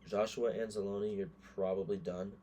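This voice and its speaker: Male gaming creator, hoarse, deeper side, loose offhand thinking-aloud commentary. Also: little pitch movement, normal speed, dark tone, slight background noise. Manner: thinks aloud, muttering verdicts on players. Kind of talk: casual gameplay commentary.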